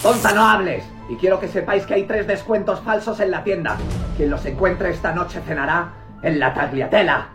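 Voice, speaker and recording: A man playing a haughty, bossy female store manager in a comedy sketch, imperious and deadpan, with a Castilian Spanish accent. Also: high-pitched, moderate pace, music in the background. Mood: bossy, menacing.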